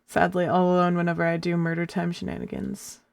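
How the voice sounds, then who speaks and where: A woman streamer with a gravelly voice, chatting casually and rambling to herself between laughs. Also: lowish voice, completely flat delivery, brisk, dry recording. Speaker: live streamer.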